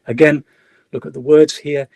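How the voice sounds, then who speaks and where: A man's voice: on the high side, flat delivery, moderate pace, gravelly, darkish tone, husky, no echo. Hesitant middle-aged man lecturing over a video call. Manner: hesitant, many fillers and restarts. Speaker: guest lecturer. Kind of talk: academic webinar lecture.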